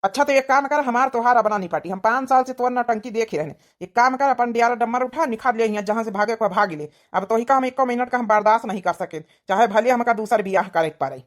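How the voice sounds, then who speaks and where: A woman's voice: a little high, quick pace, hardly any pauses, lightly acted. A woman voicing a tired, grumbling village husband with an Awadhi accent in a cartoon comedy. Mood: angry. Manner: grumbling, berating.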